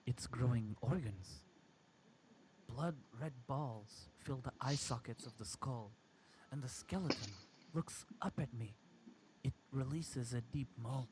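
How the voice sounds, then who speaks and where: Slow-reading man over faint background noise. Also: medium pitch, a little mellow, low-quality recording. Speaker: amateur story reader. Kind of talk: dramatic reading of a first-person horror story.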